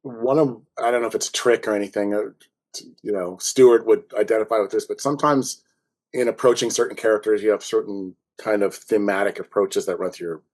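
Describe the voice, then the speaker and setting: A man's voice: lowish voice, brisk, darkish tone. A man chatting casually as a podcast guest, a writer explaining his approach in a thoughtful, tentative way. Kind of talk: casual interview chat.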